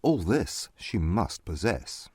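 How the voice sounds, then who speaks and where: Proud, grave young gentleman male actor, reserved and formal, husky, dry close sound, period audiobook. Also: sits low, sing-song, slow, no room sound, heavily acted. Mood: grave, critical. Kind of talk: dramatized audiobook acting.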